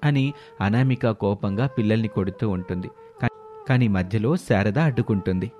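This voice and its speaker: A man's voice: on the low side, moderate pace, mellow, faint music behind. A man reading scripted narration of a family moral story as the storyteller.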